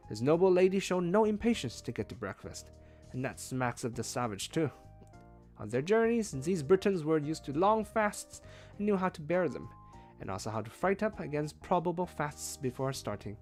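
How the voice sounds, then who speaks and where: A man reading a novel aloud as a streamed read-along, with expressive, emphatic phrasing. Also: mid-range voice. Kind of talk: read-along audiobook narration.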